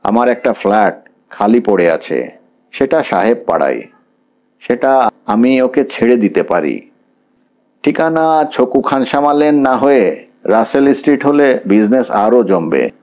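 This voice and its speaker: A class-conscious middle-aged father in an audiobook story, read by a man. He is measured and businesslike, at a medium pitch, dropping English words into his speech. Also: big pitch swings, darkish tone. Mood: pragmatic, negotiating.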